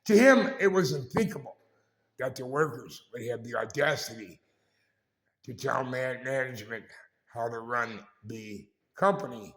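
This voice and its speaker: A man hosting a casual vlog with a raspy voice, talking in a halting, rambling way and correcting himself as he goes. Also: medium pitch, fairly bright tone. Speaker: vlog host. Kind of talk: casual walking-tour vlog. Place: indoors in a historic building.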